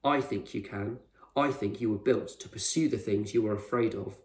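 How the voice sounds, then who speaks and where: A man's voice: fairly low pitch, normal speed, lightly acted. Man reading as firm eccentric explorer, echoey room. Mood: encouraging. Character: eccentric grown male explorer, firm, proud, reassuring mentor. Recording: roomy echo, distant microphone.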